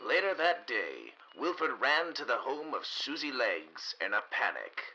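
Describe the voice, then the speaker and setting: A man narrating a 1930s radio mystery as scripted storytelling, dramatic and measured. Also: medium pitch, sing-song, pushed and bright, lo-fi sound, a little hiss or hum.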